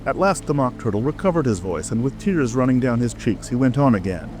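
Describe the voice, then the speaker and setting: A man's voice: medium pitch, lively intonation, brisk. A man narrating a storybook audiobook in a scripted reading style. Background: rain ambience and music.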